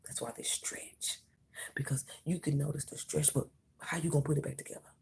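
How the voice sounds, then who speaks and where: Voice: mid-range voice, level tone, fairly quick, creaky, a little mellow, husky. A tarot reader giving an intimate, whispered reading to the viewer, emphatic and reassuring, calling the listener honey and mama. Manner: emphatic, reassuring, repeats phrases.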